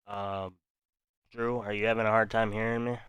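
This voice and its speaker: A man hosts a live weather stream in a calm, meandering way and speaks straight to his viewers. His voice is bright and very clear, with a slightly low pitch. The sound is close and dry. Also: normal speed, no room sound, lo-fi sound. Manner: calm, rambling, talks to chat viewers. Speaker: livestream weather host.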